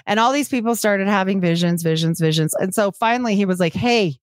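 A woman's voice: mid-range voice, fairly quick, dry recording. A woman guesting on a podcast, chatting emphatically and agreeing often. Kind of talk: casual podcast chat.

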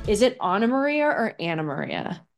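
A brash woman hosting a podcast, loose and jokey, dropping curse words as she talks. She keeps the chat casual and direct with her guest, with a middle-pitched voice. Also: very animated, a little fast, faint music behind. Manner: brash, swears freely, jokey. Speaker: podcast host. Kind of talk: casual profane interview chat.

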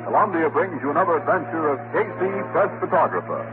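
Dramatic, animated radio narrator man. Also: on the high side, fast talker. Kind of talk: 1940s radio scripted narration. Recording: tinny vintage radio, loud music.

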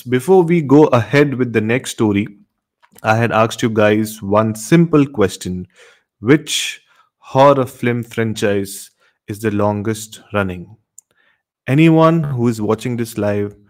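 A man's voice: medium pitch, slow pace, a little mellow. A man hosting a live horror storytelling show, speaking straight to his listeners and often repeating himself. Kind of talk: live stream presenting to viewers.

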